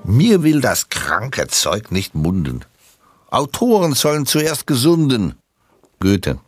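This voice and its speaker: A man performing a scripted literary recitation of scathing insults with measured, biting delivery. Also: medium pitch, very animated, husky, no room sound, faint music behind, full character voice. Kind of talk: scripted literary recitation, quote then author's name.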